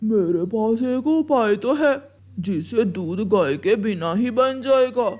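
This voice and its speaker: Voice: medium pitch, expressive, takes it slowly, darkish tone. A performer voicing a scheming crow uncle in a children's cartoon. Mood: sly.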